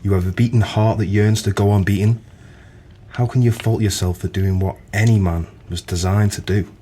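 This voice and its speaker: A very deep, mellow voice plays a weary publican acted by a man, gruff yet kindly and plain-spoken. It is audio-play acting over faint ambience. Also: fairly even intonation, normal speed, slight background noise, natural voice. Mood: wry, consoling.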